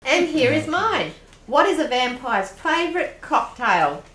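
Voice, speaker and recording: A woman's voice: high-pitched, very animated, measured pace, fairly bright tone, exaggerated voice. A woman playing a polite, squeamish guest among vampires in an amateur radio play. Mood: polite, upbeat. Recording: echoey room, faint noise.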